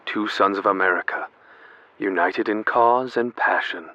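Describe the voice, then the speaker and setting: Young man as a low, portentous radio narrator. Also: pushed and bright. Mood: ominous. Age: young adult. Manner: portentous, measured. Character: grave, ominous 1940s radio play narrator. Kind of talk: dramatic scripted narration. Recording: dull radio-style audio, faint noise.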